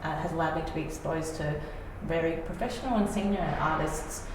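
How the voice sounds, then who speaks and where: A woman's voice: deep voice, fairly quick. A woman, a playwright being interviewed, talking reflectively and informally about her work. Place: reverberant indoor room. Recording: very echoey room, distant mic, faint noise.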